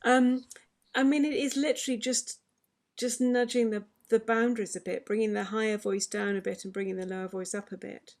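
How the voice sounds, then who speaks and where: A woman's voice: on the high side, flat delivery, a little fast, darkish tone. A woman who is a vocal health expert, explaining singing advice in a chatty way during an online question session. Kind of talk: online forum Q&A.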